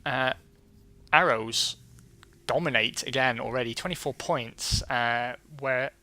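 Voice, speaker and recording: A man casually commentating a racing management game as a let's play host, his voice going hoarse and clearing his throat now and then. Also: mid-range voice, slow pace. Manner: relaxed and chatty, reading out results.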